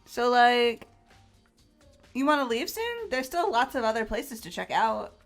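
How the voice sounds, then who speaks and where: Woman voicing an excitable high-pitched high school girl. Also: unhurried, faint music behind. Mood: concerned. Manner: bubbly, exclamatory. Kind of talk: fan voice acting of a video game.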